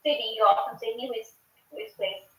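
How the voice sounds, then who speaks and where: A woman's voice: fairly high pitch, brisk, pushed and bright. A woman on a distant, echoey video call. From Nepal. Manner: friendly, questioning, often asks to repeat. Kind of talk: casual video call chat.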